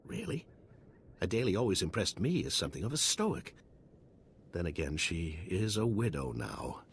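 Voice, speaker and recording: An actor playing a mild, socially oblivious scientist in a video game, stiff and matter-of-fact. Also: male voice, deep voice, very animated, moderate pace, a little hiss or hum. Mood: awkwardly formal.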